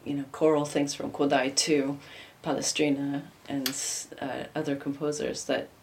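A woman, her Hungarian, chatting in an interview. Her voice sits low for a woman, and she talks easily and warmly, laughing often as she tells her story. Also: level tone, moderate pace. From United States. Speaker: interview guest, opera singer. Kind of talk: casual interview conversation.

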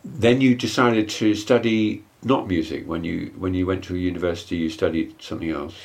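A man hosting a relaxed interview. His voice is very deep, and he often pauses and restarts as he feels his way toward a question. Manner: hesitant, with fillers and restarts. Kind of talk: casual interview conversation.

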